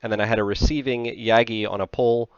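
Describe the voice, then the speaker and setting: A man presents technical material in a fairly low voice. He explains step by step in a measured, conversational way. The sound is dry and close, with a slightly muffled, lo-fi top end. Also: fairly even intonation, clean tone, dry recording. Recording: slightly dull, band-limited sound.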